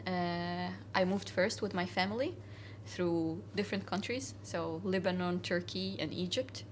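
Young woman from syria chatting in a cafe, lowish voice, lots of uh and like, faint room hum. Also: unhurried. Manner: hesitant, many fillers, earnest. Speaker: interview guest. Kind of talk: casual conversational interview. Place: cafe, indoors.

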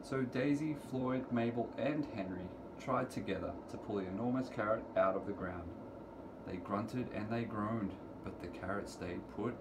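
A middle-aged man reading a children's picture book aloud to preschoolers in deliberate, sing-song storytelling phrasing, recorded in a room at home. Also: fairly low pitch. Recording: clearly noisy home recording, room ambience.

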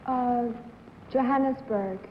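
A young woman from South Africa answering panel questions calmly on a 1950s TV game show, in muffled old broadcast audio. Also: on the high side, slow pace, a little hiss or hum. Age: young adult.

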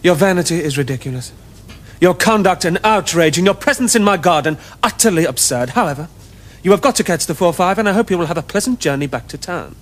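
An actor playing an earnest, stuffy young Victorian gentleman, indignant and pompous, with a clipped upper-class English accent. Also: high voice, lively intonation, quick pace. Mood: stern, exasperated. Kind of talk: period stage comedy acting for television.

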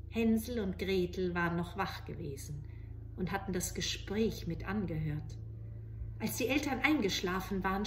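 A woman's voice: mid-range voice, reverberant, slight background noise. A woman in her forties telling a children's fairy tale expressively, recorded in a room at home. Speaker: storyteller. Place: small living room with bookshelves, indoors.

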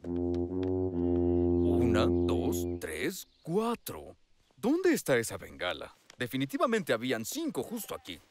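A man's voice: deep voice, sing-song, slow pace, darkish tone, faint music behind, exaggerated voice. A man voicing a conscientious, flustered rescue pilot in a Latin American Spanish cartoon dub.

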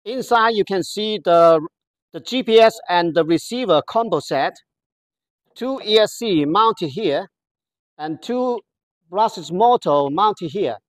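Halting voice explaining a product step by step. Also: medium pitch, animated, no echo. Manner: halting, careful explanatory delivery in non-native English. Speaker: product demonstrator. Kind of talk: product walkthrough.

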